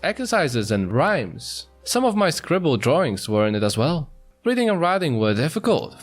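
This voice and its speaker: Guy streaming a visual novel readthrough, very expressive, trips on words, bit of music behind him. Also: mid-range voice. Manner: expressive, stumbles over words. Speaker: streamer, narrator. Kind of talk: reading visual novel narration aloud.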